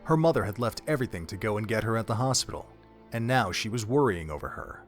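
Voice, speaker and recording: A man's voice: fairly low pitch, expressive, fast, faint music behind. A man narrating a dramatic story from a script, as the narrator of an audio drama.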